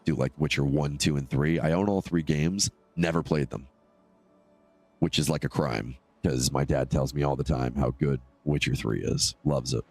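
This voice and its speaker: A man with a stuffed-up, nasal voice giving casual, low-key, rambling solo commentary as he live streams a game. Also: normal speed, vocal fry, darkish tone, soft background music. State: congested from allergies.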